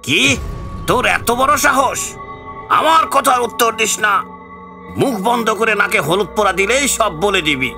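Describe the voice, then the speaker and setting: An actor playing a fierce village exorcist in an audio drama, chanting and commanding a spirit. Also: male voice, high-pitched, expressive, moderate pace, pauses often, sharp tone, full character voice. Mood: angry, threatening. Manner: commanding, incantatory. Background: faint music.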